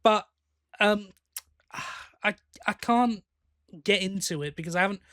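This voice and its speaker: A man's voice: sits high, a little slow, a bit bright, dry recording, clean studio sound. A man co-hosting a podcast, talking in a casual, chatty and enthusiastic way. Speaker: podcast co-host.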